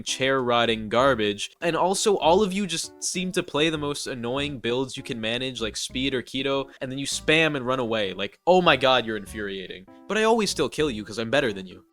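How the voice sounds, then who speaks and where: A man's voice: medium pitch, lively intonation, moderate pace, hardly any pauses, bright, forceful tone, faint music behind. A man with a congested, stuffy voice doing a scripted, sarcastic voice-over roast as a gaming YouTuber. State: congested, nasal from allergies.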